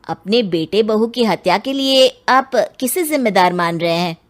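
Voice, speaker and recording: A woman's voice: medium pitch, lively intonation, moderate pace, natural voice. Woman voicing a polite cartoon police inspector. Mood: earnest. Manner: earnest, polite questioning, addressing others as sir. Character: dutiful male police inspector, steady and respectful. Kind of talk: Hindi cartoon voice acting.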